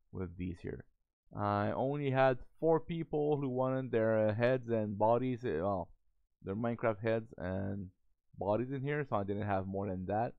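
Hoarse, gravelly man on a tinny mic. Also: medium pitch, no room sound. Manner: halting, many fillers and restarts. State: losing his voice, has a cold. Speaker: Minecraft server host. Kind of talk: casual gameplay voice-over. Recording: tinny phone-like lo-fi sound.

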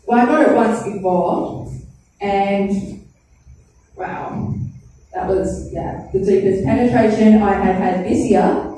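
A woman in her thirties rambles through a crude, self-deprecating stand-up set on a handheld mic, often laughing at her own lines. Her voice is mellow and dark-toned, caught from the audience in a very echoey, distant small club with faint room ambience. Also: medium pitch, takes it slowly, pauses often, a little hiss or hum. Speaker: stand-up comedian. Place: small comedy club stage. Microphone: handheld stage mic, filmed from the audience.

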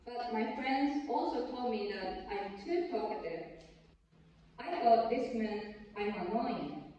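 A young Japanese woman with a Japanese accent giving a memorized speech at a lectern on an auditorium stage, earnest and a bit halting. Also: a little high, dark tone, slight background noise. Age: young adult. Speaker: student speaker. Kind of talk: memorized speech contest talk. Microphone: lectern mic, filmed from far in the hall. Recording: distant, echoey hall, dull sound.

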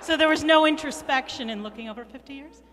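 Casting: female audience questioner, high pitch, reverberant hall recording. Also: quick pace. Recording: echoey hall, clear background noise and hum.

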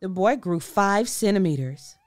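Animated woman reading a storybook, unhurried. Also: medium pitch, dry recording, clean studio sound, soft background music. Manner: expressive, deliberate storytelling. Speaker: storybook reader. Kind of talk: children's read-aloud narration.

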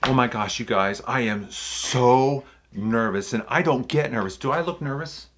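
A man's voice: medium pitch, normal speed, a bit bright, a little hiss or hum. A middle-aged man, a guest on his daughter's music channel, joking playfully about being nervous. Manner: playful, mock-nervous, dry teasing. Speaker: guest, host's father. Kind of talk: casual joking chat. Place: bedroom indoors.

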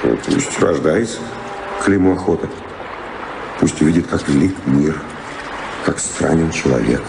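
An actor playing a restless seafaring adventurer and defensive lover in a radio play, speaking in a dramatic, impassioned, rhetorical way. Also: male voice, rumbling low, measured pace, creaky, noisy background, full character voice. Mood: resentful, defiant. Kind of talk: theatrical radio play acting. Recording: roomy radio drama, loud music behind.

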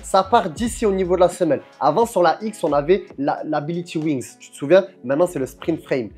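A young man reviewing football boots to camera in a room lined with shelves, talking in an energetic, chummy way and calling the viewer his soldier. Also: fairly high pitch, fairly quick, slightly rough, faint music behind. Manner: energetic, chummy, addresses viewer as "mon soldat". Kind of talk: casual product review to camera.

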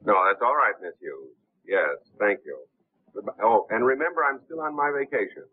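An actor playing a suave, unflappable gentleman impostor in a 1930s film, speaking politely and smoothly. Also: lowish voice, animated, moderate pace. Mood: smoothly unruffled. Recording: muffled, tinny 1930s film soundtrack.

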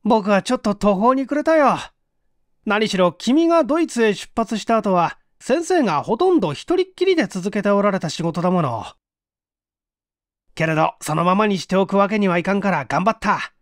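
Friendly, energetic young man of science for audiobook, male reader acting: high voice, hearty, dry close recording. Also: normal speed, no room sound. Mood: eager, proud. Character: eager, friendly young male research technician. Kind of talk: acted audiobook dialogue.

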